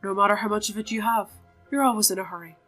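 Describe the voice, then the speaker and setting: A woman's voice: medium pitch, fast, sharp tone, soft background music. A woman voicing a flamboyant male otherworldly circus showman in a game, theatrical, languid and condescending. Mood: scornful, aloof.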